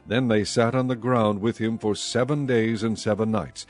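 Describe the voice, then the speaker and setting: A man reading scripted scripture narration as narrator of a dramatized audio Bible, in a measured, solemn manner. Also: fairly low pitch, fairly even intonation, soft background music.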